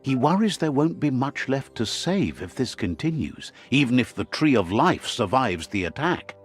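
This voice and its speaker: A middle-aged man narrating a game in a measured, wise storytelling manner. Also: medium pitch, sing-song, slightly rough, soft background music.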